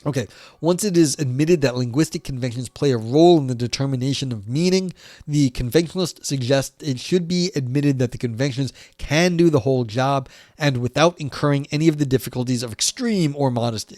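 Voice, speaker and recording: A man with a scratchy, hoarse voice on a livestream, reading philosophy passages aloud and then commenting casually, swearing and poking fun at himself. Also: mid-range voice, fairly quick, darkish tone, no room sound. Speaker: streamer reading philosophy text.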